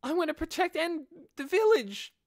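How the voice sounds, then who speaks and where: Earnest, high-pitched pleading boy. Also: very animated, normal speed, no room sound, clean studio sound, exaggerated voice. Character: earnest, pleading teenage boy apprentice apothecary.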